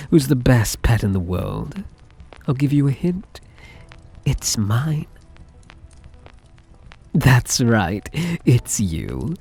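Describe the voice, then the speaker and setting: A soft yandere mafia heir, acted by a man in an intimate ASMR roleplay, speaking slowly with a breathy, husky edge. His delivery rises and dips expressively as he coaxes, tender and possessive. Music plays quietly behind him. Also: on the low side, full character voice. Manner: tender, coaxing, softly possessive.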